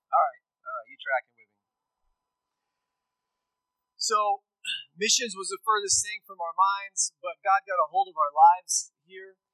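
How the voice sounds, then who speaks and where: A man's voice: high-pitched, pushed and bright, dry recording, rough recording. A man, a missionary speaking in a casual, conversational sermon and asking his audience questions. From rural Texas.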